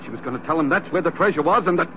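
A man's voice: a little high, lively intonation, rapid-fire, natural voice. An actor playing a loyal, scrappy sailor sidekick with urgent, slangy tough-guy talk in an old radio adventure. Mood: anxious, hurried. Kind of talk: 1940s-50s radio adventure drama acting. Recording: tinny, noisy old radio recording.

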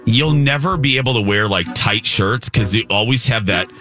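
A man's voice: on the low side, normal speed, pushed and bright, faint music behind. A man in his forties hosting a morning radio show, joking and laughing with his co-hosts. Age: 40s. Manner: jokey, laughs a lot.

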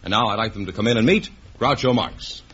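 A man's voice: fairly low pitch, a little fast, a little hiss or hum. A man announcing a 1950s radio show from a script, in a formal, projected style. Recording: muffled old radio broadcast, loud music. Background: music.

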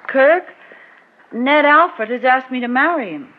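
A woman's voice: high-pitched, lively intonation, unhurried, a little hiss or hum. An actress playing a proud, guarded woman resisting an old flame, genteel and a little defensive, in classic radio drama style. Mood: testing, hurt. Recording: muffled old radio recording.

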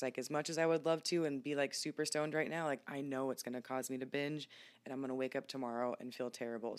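A woman hosting a casual podcast, candid and chatty, laughing easily. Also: a bass voice. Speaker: podcast host.

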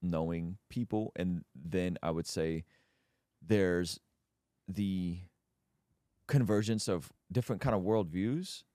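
A man's voice: deep voice, takes it slowly, long pauses, no echo, clean studio sound. A man from Azerbaijan hosting a podcast, telling stories in casual conversation with lots of restarts. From Azerbaijan, Armenian family. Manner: animated storyteller, many restarts. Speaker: podcast host.

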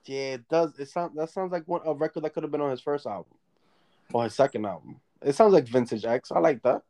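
A man in a relaxed, profane casual chat who often trails off mid-thought while making his point. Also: a little high, fairly quick.

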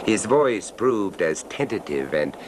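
An actor playing a reserved, urbane traveler who narrates a radio suspense tale in a measured, polite, literary manner. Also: male voice, big pitch swings. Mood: measured, wry. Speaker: narrator and character. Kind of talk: old-time radio horror narration and acting. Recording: noisy radio recording, train rumble.

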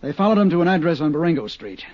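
An actor playing a brisk, confident male arson investigator in a 1950s radio drama. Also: a little high, very animated. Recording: dull 1950s radio broadcast.

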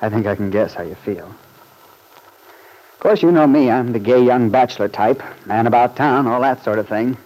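An actor playing a wry, urbane bachelor in an old-time radio drama, with a wordy, sociable manner. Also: male voice, medium pitch, moderate pace, darkish tone, close to an everyday voice, poor audio. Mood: wry, sympathetic. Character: urbane bachelor man about town, wry, sociable friend.

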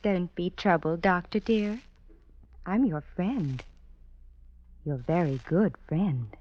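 An actress playing a coy, flattering, devoted lady friend in a classic radio drama, with a playful, coquettish manner. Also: on the low side, takes it slowly. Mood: playful, reassuring. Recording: dull old radio recording.

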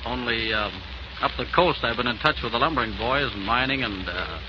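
A man's voice: medium pitch, fairly quick, close to an everyday voice. An actor playing a friendly, enterprising businessman with a casual manner in a 1940s radio drama. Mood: tentative, persuasive. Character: friendly, enterprising businessman pitching a partnership to an old pal. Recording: noisy, dull 1940s radio broadcast.

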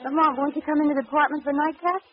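A woman's voice: high voice, fairly even intonation, racing, over loud music, natural voice. An actress playing a spirited woman companion of a crime fighter, in 1940s radio mystery style. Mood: curious, friendly. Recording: dull, noisy old radio recording.

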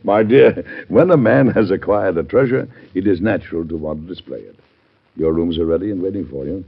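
Deep-voiced, fatherly old president. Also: a little fast, creaky, slightly rough, exaggerated voice. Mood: warm, courtly. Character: steadfast older president, fatherly, grave and resolute. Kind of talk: old-time radio drama acting. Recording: muffled old radio broadcast.

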